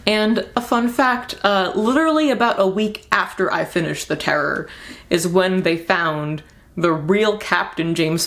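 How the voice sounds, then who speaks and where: A woman's voice: fairly low pitch, creaky, fairly bright tone. A young woman giving a casual book review as a vlogger, speaking in an informal, rambling and emphatic way.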